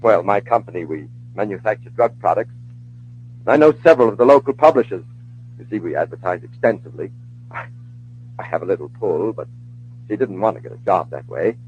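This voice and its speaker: A man's voice: low voice, lively intonation, brisk, long pauses, narrow, tinny audio, a little hiss or hum. An actor playing an anxious, weak-willed businessman who hesitates and pleads, in an old radio drama. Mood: evasive, subdued. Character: nervous, weak-willed businessman under a gangster's thumb. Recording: old radio broadcast recording.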